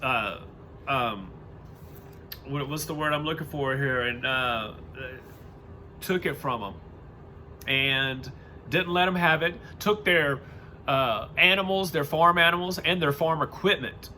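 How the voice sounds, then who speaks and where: A man's voice: a little high, takes it slowly, pauses often, bright, forceful tone, slight background noise. An American man reading aloud and adding casual, rambling, informal commentary in a home-made recording. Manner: rambling, informal, loses his thread. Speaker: reader and commentator.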